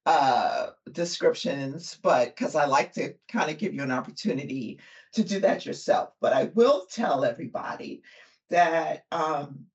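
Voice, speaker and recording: A woman hosting a podcast interview, unhurried and chatty, with many fillers and frequent laughs. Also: fairly low pitch, muffled recording. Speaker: podcast host. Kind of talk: interview conversation.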